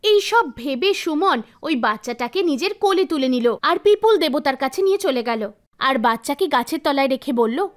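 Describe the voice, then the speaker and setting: Very high-pitched woman storyteller. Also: expressive, normal speed. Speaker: narrator. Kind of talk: scripted storytelling for animated folktale. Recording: background music behind voice. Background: soft music.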